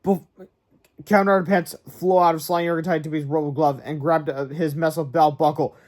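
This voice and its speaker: A man's voice: on the high side, steady tone, normal speed. A man reading a children's chapter book aloud as storybook narrator, with asides, stumbling over words now and then. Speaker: storybook reader. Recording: very noisy amateur recording.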